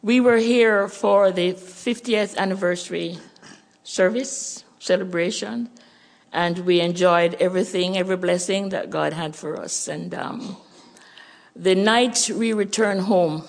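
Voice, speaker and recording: A woman's voice: lowish voice, a little slow, gravelly. An older Jamaican woman with a Jamaican accent, a missionary giving an earnest church missions report and testimony.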